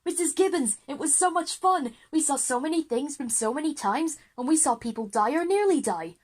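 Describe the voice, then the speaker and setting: A woman voicing excitable, curious schoolchildren in an audio drama, eager and exclaiming. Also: high voice, moderate pace, pitched above the performer's own voice. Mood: delighted.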